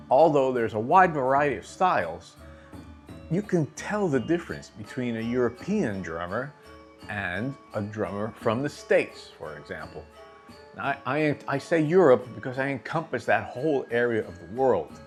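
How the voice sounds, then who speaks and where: A man teaching drumming in a relaxed, conversational way, asking rhetorical questions and checking in with his listeners. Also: mid-range voice. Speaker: drum teacher. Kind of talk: instructional talk to camera.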